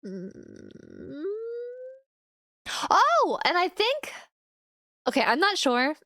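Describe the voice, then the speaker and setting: A young adult woman streamer chatting casually with her viewers, relaxed and chatty, with frequent giggles. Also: sits high, extremely slow, creaky, no room sound, studio quality. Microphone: studio microphone.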